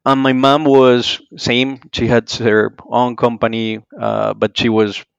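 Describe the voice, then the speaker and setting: A man from Colombia with a Colombian accent, speaking English as a second language in a casual interview, halting with many restarts. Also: mid-range voice, little pitch movement, vocal fry, clean tone, no room sound, highs cut off. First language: Spanish. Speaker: podcast guest.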